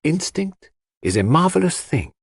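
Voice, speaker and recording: A man voicing a shrewd, solemn foreign detective in an audiobook, speaking in a measured, emphatic and enigmatic manner. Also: fairly high pitch, big pitch swings, slightly rough, no echo, studio quality, pitched above the performer's own voice, heavily acted. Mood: musing.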